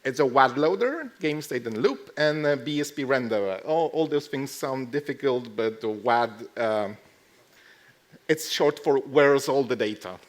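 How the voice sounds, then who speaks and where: A man speaking casually at a conference as he gives a short tech talk, with dry, deadpan joking asides. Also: medium pitch, a little slow. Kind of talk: casual lightning tech talk with live demo. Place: live talk to a conference audience.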